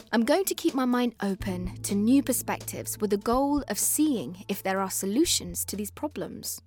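A British woman narrating a scripted podcast as host, in an expressive, conversational way that speaks straight to listeners. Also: medium pitch. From born in Afghanistan, moved to the UK.

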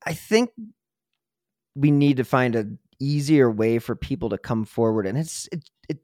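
A man's voice: medium pitch, a little slow, dark tone, no echo. Man with a dry, close podcast sound. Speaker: podcast host. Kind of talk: casual true-crime interview.

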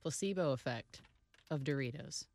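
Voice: medium pitch, lively intonation, moderate pace, a little mellow. A podcast guest in casual comedic chat who laughs often and riffs along with the host.

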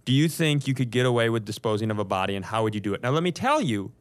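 A man's voice: mid-range voice, lively intonation, racing. A man co-hosting a comedy podcast, chatting casually in a joking, profane way.

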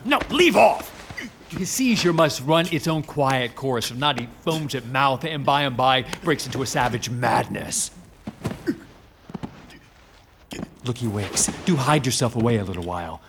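Guy acting sneaky manipulative soldier villain, stage shakespeare, pitch all over, urgent and slippery, bit of noise behind. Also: animated, moderate pace, a bit bright. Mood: urgent, commanding. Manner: insinuating, urgent, theatrical. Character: scheming, two-faced soldier villain manipulating his general. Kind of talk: Shakespearean tragedy acting in modernized English.

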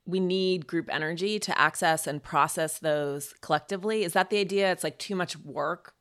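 Woman, very low pitch, interviewer, conversational questioning, tentative rephrasing. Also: fairly bright tone.

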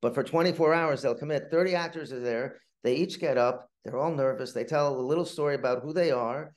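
A middle-aged man, a playwright being interviewed, tells stories conversationally in a halting, rambling way, often repeating words. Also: medium pitch.

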